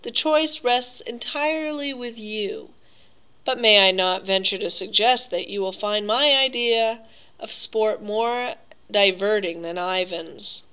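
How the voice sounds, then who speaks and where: A woman's voice: mid-range voice, sharp tone, clean tone, low-quality recording. Woman voicing suave, cruel Cossack general. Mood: silky. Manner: silky, courteous, drawn-out phrasing. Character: suave, cruel aristocratic male Cossack hunter.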